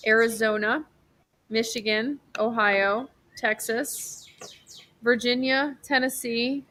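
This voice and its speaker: A woman doctor from New York state hosts a loose, chatty livestream, talking in a slightly high, bright voice. She rambles from one point to the next, swears casually and gets excited easily. She is heard over a video call. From New York state, USA. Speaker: physician hosting a telehealth livestream.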